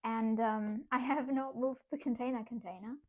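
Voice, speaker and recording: German girl streaming her craft stuff, a bit high voiced, rambly and giggly, talks to chat. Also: fairly even intonation, moderate pace, a little mellow, rough recording. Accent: German, with some British English. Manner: chatty, laughs often, talks to herself while working. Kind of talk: casual craft livestream chat, reading chat aloud.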